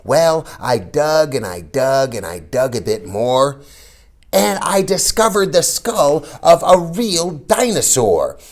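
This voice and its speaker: A read-aloud host reading a children's storybook in an animated, expressive style. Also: mid-range voice, slow.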